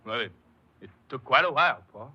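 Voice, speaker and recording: An actor playing a desperate, earnest ex-convict in a 1950s American radio crime drama, pleading and hesitant, often repeating himself. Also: male voice, medium pitch, animated, a little fast, breathy edge. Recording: muffled, hissy 1950s radio recording.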